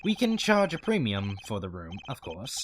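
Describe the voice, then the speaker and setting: A man voicing an eager, morbid, self-important hotel bellboy in a game fan dub, fawning and theatrical with drawn-out phrases. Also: lowish voice, wildly swooping pitch. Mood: gleeful, boastful. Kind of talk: fan-dub visual novel character acting.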